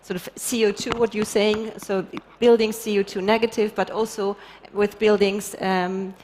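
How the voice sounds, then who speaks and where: A woman's voice: mid-range voice, flat delivery, moderate pace, a little mellow. Hesitant woman on a panel, hall reverb. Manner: searching, many ums. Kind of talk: panel discussion. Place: large conference stage.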